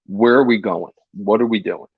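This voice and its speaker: A man's voice: fairly low pitch, normal speed, husky, no room sound, phone-quality sound. A man co-hosting a casual sports podcast, talking eagerly with frequent stammered restarts. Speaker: podcast co-host.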